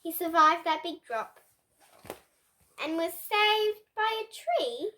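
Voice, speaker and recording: A young girl reading a picture book aloud as host, with expressive, dramatic storybook phrasing. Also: very high voice. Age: child. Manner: slow, deliberate, dramatic phrasing.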